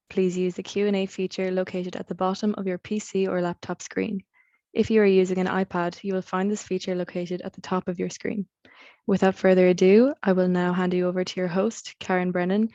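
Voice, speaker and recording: Woman reading a webinar welcome, flat monotone, mellow, dry muffled sound. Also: lowish voice, dry recording. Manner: formal, read from a script. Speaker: webinar moderator. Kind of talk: scripted announcement. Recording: dull dry lo-fi audio.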